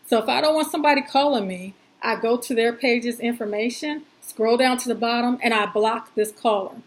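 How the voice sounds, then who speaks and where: A woman's voice: on the high side, a little fast, rough recording. A woman presenting an informal step-by-step tutorial in a chatty, folksy and reassuring way, using plain everyday words.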